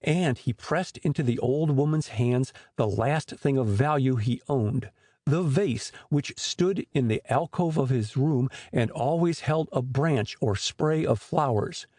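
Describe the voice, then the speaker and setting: A man narrating a storybook audiobook in an expressive storytelling manner. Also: mid-range voice, measured pace, dry recording, clean studio sound.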